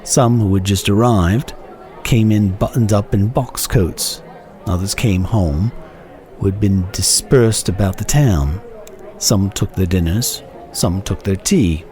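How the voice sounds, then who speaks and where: A man giving a measured, theatrical dramatic reading of a classic short story as its narrator. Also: lowish voice, dark tone, some chatter behind. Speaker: narrator, reader.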